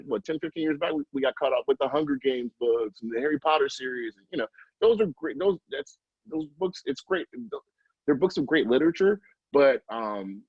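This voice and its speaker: Halting middle-aged man, dry muffled sound. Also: medium pitch, breathy edge, no echo. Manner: halting, self-interrupting, informal and animated asides. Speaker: early childhood educator, guest. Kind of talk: casual interview answers, recommending.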